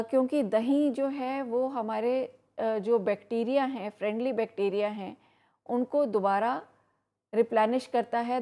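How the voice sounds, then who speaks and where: A woman giving health advice on an educational program, explaining steadily with frequent pauses and fillers. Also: a little high, warm tone. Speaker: health expert guest. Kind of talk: educational talk on live program.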